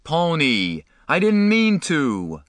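A man reading an audiobook, voicing a harsh, exasperated older brother who scolds and shouts. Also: lowish voice, expressive, slow, clean tone, no echo, studio quality, full character voice. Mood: desperate.

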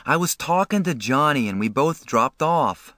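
A man's voice: mid-range voice, expressive, unhurried, a bit bright, no echo, studio quality, voice pushed up. A man reading an audiobook, voicing a sensitive, upset teenage boy who pleads and protests. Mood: defensive.

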